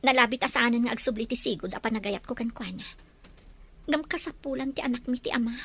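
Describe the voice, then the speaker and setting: A woman's voice: on the high side, quick pace, creaky, a bit bright, slightly rough, full character voice. A woman acting a young, hurt, anxious and plainspoken wife in an audio drama. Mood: hurt, resigned. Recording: faint background noise.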